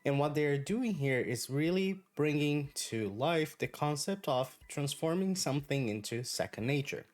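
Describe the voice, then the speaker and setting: A man whose first language is Brazilian Portuguese, giving an online English pronunciation lesson in a clear, deliberate, encouraging way. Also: a little high, expressive. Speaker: English teacher.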